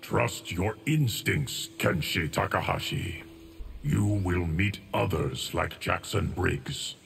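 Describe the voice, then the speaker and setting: Deep, slow, gravelly ancient time keeper. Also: male voice, fairly bright tone, a little hiss or hum, exaggerated voice. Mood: stoic. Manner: measured, formal, grave. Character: ancient, solemn, stoic male keeper of time. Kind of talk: video game fight intro dialogue.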